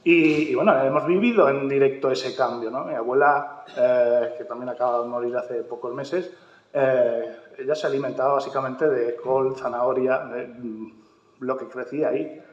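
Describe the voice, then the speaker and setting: A man's voice: mid-range voice, steady tone, normal speed. A man from Mallorca speaking on a panel at a round-table talk, hesitant and full of fillers. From Mallorca, Spain. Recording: echoey hall, dull sound.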